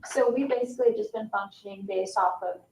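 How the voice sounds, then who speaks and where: A woman's voice: mid-range voice, a little fast, gravelly, a little mellow, recorded from a distance. An American woman giving an informal update at a public meeting. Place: indoor public meeting room. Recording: echoey room, faint background noise.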